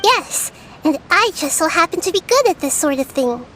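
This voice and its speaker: A woman voicing a young goddess girl who is gentle, curious and wise, explaining things in a soft, thoughtful way. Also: very high voice, very animated, moderate pace, a little hiss or hum, heavily acted.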